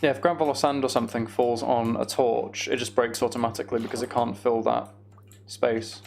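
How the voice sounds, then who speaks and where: A man with plenty of vocal fry in a middling pitch, commentating casually on his own gameplay for a livestream. He mutters asides to himself and pokes fun at himself as he goes. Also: little pitch movement, brisk, a little mellow.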